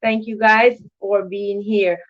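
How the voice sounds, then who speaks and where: A woman's voice: medium pitch, fairly even intonation, slow. A woman hosting a livestream, greeting chat viewers with effusive, repeated welcomes. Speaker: livestream host of an educational channel. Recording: dull lo-fi livestream audio.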